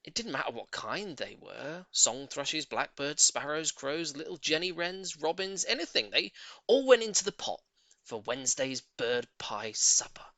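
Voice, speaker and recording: A man narrating a children's audiobook in an expressive, theatrical and emphatic storytelling manner. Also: fairly high pitch, moderate pace, no echo.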